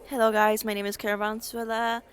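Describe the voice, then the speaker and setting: High-voiced teenage girl, casual. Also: flat delivery, brisk. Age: teens. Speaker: high school student. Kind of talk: casual interview answer.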